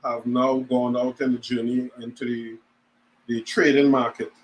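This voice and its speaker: A man in his forties, a guest on an online talk show, speaking hesitantly with many pauses and restarts. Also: medium pitch, level tone, rough recording. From Trinidad and Tobago. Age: 40s. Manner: hesitant, lots of ums and restarts. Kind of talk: casual chat on a video call.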